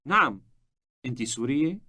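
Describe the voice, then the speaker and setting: Man, Levantine Arabic accent, slow careful model phrases for learners. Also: mid-range voice, animated, bright, forceful tone. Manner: careful, deliberate enunciation. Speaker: native speaker model on a language tape.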